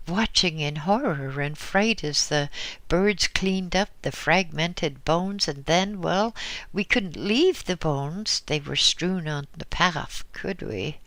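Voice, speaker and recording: A man voicing a grieving old widow who is frightened and desperate, with tearful, pleading outbursts that break high. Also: bright, forceful tone, no room sound, exaggerated voice. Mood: anguished. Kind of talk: audiobook reading.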